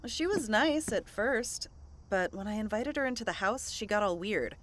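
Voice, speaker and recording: A woman's voice: mid-range voice, animated, moderate pace, slight background noise, natural voice. A woman playing a friendly, earnest wife and mother in an amateur radio drama. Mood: puzzled.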